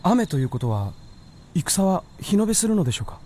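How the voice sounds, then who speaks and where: An actor playing a polite, anxious young shape-shifting fox in human form, speaking earnestly in a radio drama. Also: male voice, high voice, sing-song, warm tone. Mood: curious, polite. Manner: earnest, polite, quick to exclaim. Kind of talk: Japanese radio drama acting.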